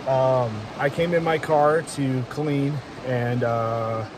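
A man's voice: mid-range voice, slow pace. A young man in his twenties giving a casual vlog update from inside a parked car, hesitant with many fillers and restarts. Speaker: vlogger. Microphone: phone camera close to face. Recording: faint rain noise in car. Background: rain and car ambience.

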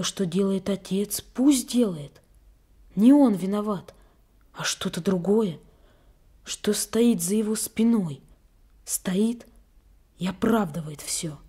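A performer with a woman's register playing a troubled young runaway boy in a radio drama, thinking aloud slowly and quietly. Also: mid-range voice, husky. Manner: slow, hushed, thinking aloud. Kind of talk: Soviet radio drama monologue.

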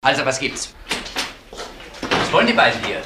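A man playing a shady, defensive billiard club boss in a crime radio play, gruff and insistent. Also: mid-range voice, very animated, normal speed, noisy background, lightly acted. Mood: gruff, guarded.

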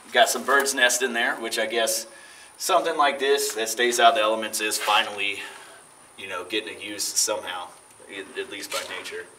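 A man's voice: fairly low pitch, fairly even intonation, normal speed, pushed and bright, poor audio. A young man giving a casual, folksy guided tour to camera, recorded outdoors at an abandoned baseball stadium. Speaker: urban exploration vlogger. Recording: outdoor camera audio with noise.

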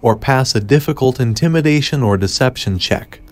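A man's voice: mid-range voice, animated, normal speed, no room sound. A man narrating a scripted video game walkthrough in a matter-of-fact, instructional way. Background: game ambience.

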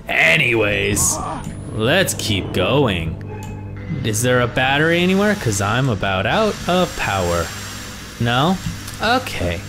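A young man with a hoarse voice, commentating a horror game he is playing, swearing and reacting to scares. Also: fairly high pitch. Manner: reactive, swearing, jumpy exclamations. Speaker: gaming YouTuber. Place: small room at home. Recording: game music behind the voice.

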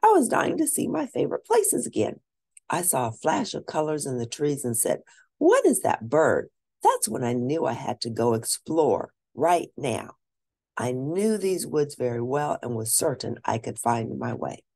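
Low-voiced woman reading aloud, very animated. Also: vocal fry. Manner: animated, dramatic emphasis with drawn-out words. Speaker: children's book reader. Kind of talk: expressive read-aloud storytelling.

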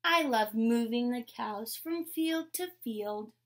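A young adult woman reading a picture book aloud like a teacher, in a measured, instructional way. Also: a little high, lively intonation, fairly bright tone. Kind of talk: picture-book read-aloud with short explanations. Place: indoor room with painted mural wall.

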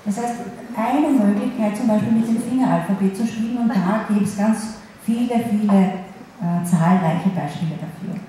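A woman interpreter voicing a signed lecture in the first person, in a halting way with restarts and pauses, in a large wood-panelled hall. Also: mid-range voice, warm tone, slight background noise. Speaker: sign language interpreter. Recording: very echoey hall, faint ambience.

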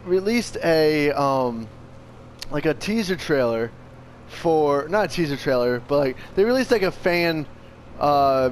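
A man's voice: fairly high pitch, slight background noise. A man hosting a gaming channel, chatting casually and enthusiastically with plenty of slang and swearing. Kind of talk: casual chat over gameplay.

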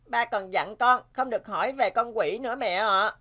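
A small, inquisitive boy in an animated family drama. The voice is high and sharply bright, with a polite, childlike innocence. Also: normal speed. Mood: worried, earnest. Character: curious, innocent little boy.

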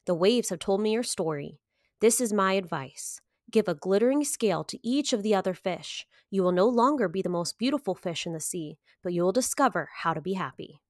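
A woman voicing a calm, wise female octopus in a picture book, speaking in a measured, solemn way. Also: medium pitch, no echo, studio quality, close to an everyday voice. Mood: solemn advice.

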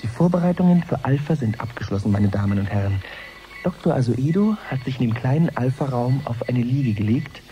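Casting: male actor for earnest male live reporter, radio play, measured and formal, mellow tone, faint music behind. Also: medium pitch, lively intonation, natural voice. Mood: hushed suspense.

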